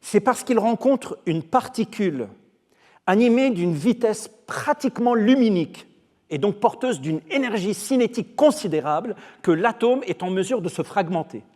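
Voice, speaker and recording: A man's voice: high-pitched, expressive, darkish tone. Man lecturing in a reverberant hall. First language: Arabic. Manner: measured, emphatic, slows on key phrases. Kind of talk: formal lecture.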